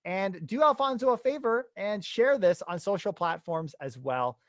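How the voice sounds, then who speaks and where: A man's voice: high-pitched, animated, normal speed, dry recording. A young man hosting a real estate podcast, introducing his guest with enthusiastic, casual energy. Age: young adult. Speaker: podcast host. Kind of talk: upbeat podcast intro and interview.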